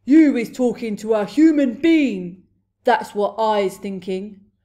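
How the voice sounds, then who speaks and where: A woman voicing a huge, gruesome male giant in a storybook, a booming brute who bellows in broken grammar and nonsense insults. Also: lively intonation, unhurried, mellow. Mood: scornful, accusing. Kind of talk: storybook read-aloud character voice.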